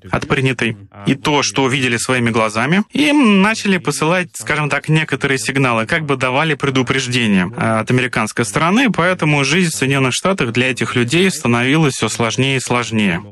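A male interview host with a bright, forceful voice at a medium pitch. He talks conversationally in a studio, with a measured, probing manner. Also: animated.

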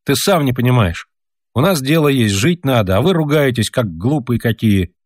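A man's voice: medium pitch, animated, normal speed, no room sound, clean studio sound, pitched above the performer's own voice, natural voice. A man voicing an earnest young peasant boy who lectures his parents and tells a long story.

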